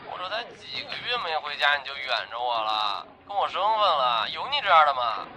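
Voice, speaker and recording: A man's voice: on the high side, sing-song, bright, forceful tone, slight background noise, heavily acted. A man playing a whiny, playful young student friend in an audio drama, nagging and pouting at his pal. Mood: pouting, teasing. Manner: pouting, nagging, rapid-fire. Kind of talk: Chinese audio drama acting. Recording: music behind the voice.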